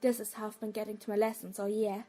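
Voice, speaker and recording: A woman playing a clever, brisk thirteen-year-old schoolgirl witch, explaining matter-of-factly in an audio play. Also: mid-range voice, level tone, warm tone, faint music behind, lightly acted. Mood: urgent, explaining. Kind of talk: dramatic audio play acting.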